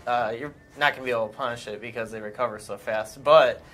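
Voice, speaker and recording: A man's voice: medium pitch, steady tone, fast talker, a bit bright, a little hiss or hum. A man giving informal, unscripted game commentary, explaining things in a conversational way.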